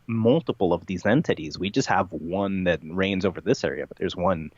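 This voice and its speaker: A man speaking as a podcast guest, telling a rambling story in a matter-of-fact, halting way with repeated words. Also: fairly low pitch, no room sound, dull audio.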